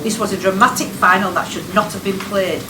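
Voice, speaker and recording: A woman's voice: mid-range voice, steady tone, brisk, noisy background, lightly acted. A woman playing a grave reader of old newspaper match reports in a radio play. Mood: grave, dramatic. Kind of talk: dramatic reading of newspaper reports. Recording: echoey, distant from microphone. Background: guitar music.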